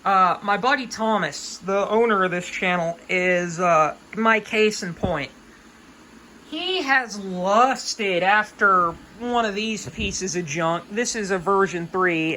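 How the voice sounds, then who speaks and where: A woman's voice: on the low side, slow, bright, forceful tone, slight background noise, low-quality recording. A woman of about twenty giving hobby advice in a casual, unscripted rant, with an emphatic, folksy, colloquial way of talking.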